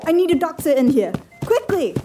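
An actress playing an urgent, alarmed hospital nurse in an audio drama. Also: female voice, very high voice, very animated, fairly quick, loud music behind the voice. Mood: urgent, controlled. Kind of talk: immersive audio drama acting.

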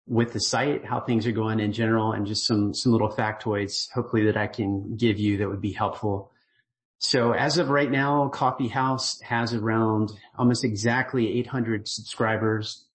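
A middle-aged man, the writer of a newsletter, gives a casual voicemail-style update, relaxed and earnest, rambling with fillers and restarts. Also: lowish voice, rough recording. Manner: grateful and earnest.

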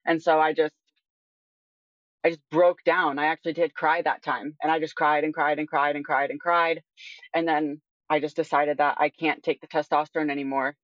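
A woman's voice: low-pitched, flat delivery, normal speed, no echo, muffled recording. A woman in her twenties talking casually and candidly as an interview guest, often using "like" as a filler. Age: 20s. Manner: candid, informal, frequent "like" as filler. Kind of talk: casual personal conversation.

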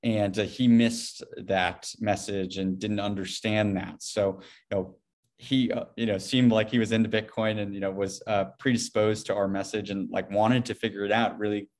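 A man's voice: fairly low pitch, steady tone, moderate pace. A man speaking as a podcast guest in a casual interview, rambling a bit and often hedging with "you know". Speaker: podcast guest, Bitcoin company CEO.

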